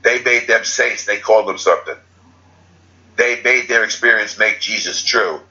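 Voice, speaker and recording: A man's voice: lowish voice, normal speed, pushed and bright, highs cut off. A man giving an unscripted spiritual talk as a teacher, rambling and correcting himself as he goes. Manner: rambling, restarts and self-corrections.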